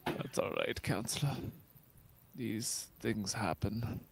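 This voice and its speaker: A man playing a dignified, ageing, reserved starship captain with measured, formal delivery in a sci-fi radio theatre read. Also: on the low side, creaky. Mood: grieving.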